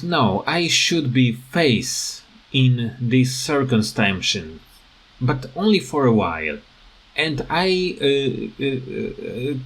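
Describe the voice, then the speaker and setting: A man giving unscripted, rambling commentary as a gaming video creator, halting often as he searches for words. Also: medium pitch.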